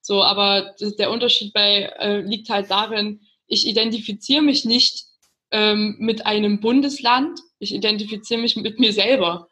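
A woman's voice: medium pitch, steady tone, moderate pace. A woman from Saxony co-hosting a podcast, talking casually with lots of fillers. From Saxony, Germany. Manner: casual, filler-heavy, laughs softly. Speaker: podcast co-host. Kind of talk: conversational podcast discussion.

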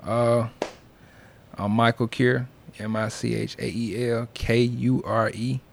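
A man's voice: medium pitch, flat delivery, takes it slowly, vocal fry. A young man talking as a music artist being interviewed, in a loose, slangy, casual way. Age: young adult. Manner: slangy, loose, peppered with check-in phrases.

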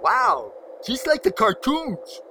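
A man's voice: very high voice, lively intonation, takes it slowly, vocal fry, pushed and bright, a little hiss or hum, exaggerated voice, low-quality recording. A man playing a bumbling, easily confused young slacker in a comedic audio drama, slangy and stammering. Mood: sheepish.

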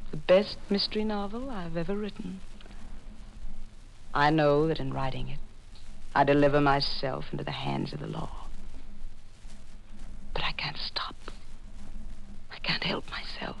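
An actress playing a haunted woman novelist confessing murder in a 1940s radio thriller, with breathy dramatic delivery that drops toward whispers. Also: deep voice, slight background noise, full character voice, poor audio. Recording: 1940s radio recording with music.

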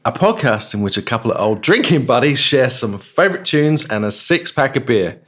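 A man's voice: mid-range voice, sing-song, a little fast, a bit bright. Middle-aged guy cohosting a chatty podcast, jokey, lots of ums and laughs. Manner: joking, laughs often, trails off with fillers. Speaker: podcast co-host. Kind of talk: casual chat.

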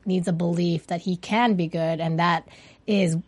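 A woman's voice: fairly low pitch, fairly even intonation, measured pace, darkish tone. A woman on a TV fan panel who talks enthusiastically, often in half-finished phrases. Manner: enthusiastic, gushing, talks in fragments. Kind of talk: casual panel chat.

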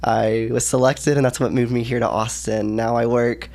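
Young texas guy on a podcast, super casual, says like a lot, medium pitch. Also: flat delivery, moderate pace, gravelly, darkish tone. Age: 20s. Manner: casual, lots of "like" fillers. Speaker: guest. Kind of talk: casual interview chat.

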